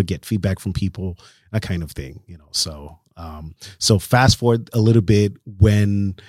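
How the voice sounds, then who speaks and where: A man's voice: sits low, darkish tone, no echo, clean studio sound. A middle-aged man from Kenya, a DJ guest, telling his story in a laid-back way with frequent fillers. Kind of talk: casual interview.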